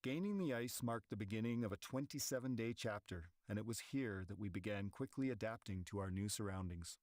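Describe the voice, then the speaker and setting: Low-voiced male documentary narrator. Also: dry recording, clean studio sound. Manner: measured, steady. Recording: voice-over with background music.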